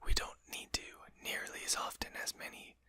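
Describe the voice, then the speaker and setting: A performer voicing a courteous, composed vampire lord in a breathy whisper, speaking formally and politely for an ASMR roleplay. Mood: reassuring, genteel. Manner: polite, formal, unhurried hushed speech.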